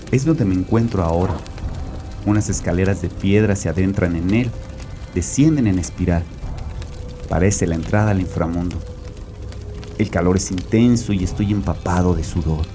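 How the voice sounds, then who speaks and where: An actor playing a tormented, guilt-ridden religious father, narrating a horror audio drama gravely and deliberately. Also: low voice. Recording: voice over prominent music.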